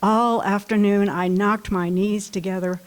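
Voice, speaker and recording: A woman's voice: mid-range voice, fairly even intonation. A woman poet at a library reading who introduces and reads her own poems in a light voice. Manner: light voice, unhurried and deliberate. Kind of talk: poetry reading. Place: library event room.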